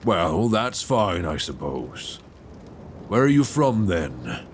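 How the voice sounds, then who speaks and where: A man playing a gentle but probing, folksy 19th-century lighthouse keeper in an intimate ASMR suspense roleplay, soft-spoken, halting and reassuring, close on a binaural mic. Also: big pitch swings, heavily acted. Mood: curious, probing. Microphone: close binaural microphone. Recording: faint low rumbling background noise.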